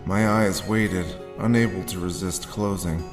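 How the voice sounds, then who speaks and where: An actor playing a grim, haunted war veteran, telling his story deliberately in a noir audio drama. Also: low voice, steady tone, music in the background. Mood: somber, haunted. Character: haunted hard-boiled male war veteran recounting his grim past.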